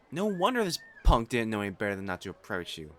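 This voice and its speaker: A man playing a proud, possessive noble fiancé in a romantic audio roleplay, haughty and formal in speech and easily flustered. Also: fairly low pitch.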